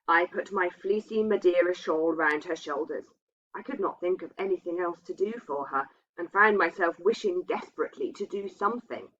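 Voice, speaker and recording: Husky woman reading, slightly muffled. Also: on the low side, fairly bright tone. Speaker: reader. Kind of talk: scripted literary ghost story narration. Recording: dull, muffled lo-fi sound.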